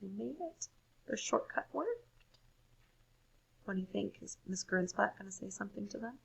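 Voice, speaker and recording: A woman reading a story aloud for children, expressive and deliberate, pausing to ask listeners questions. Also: medium pitch, vocal fry, slight background noise, low-quality recording. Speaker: storybook reader.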